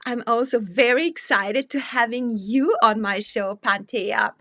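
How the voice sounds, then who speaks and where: A woman's voice: on the high side, a little slow, fairly bright tone, dry recording, clean studio sound. A woman hosting an interview show, reading a formal introduction of her guest and then asking questions with a few hesitant fillers. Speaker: host.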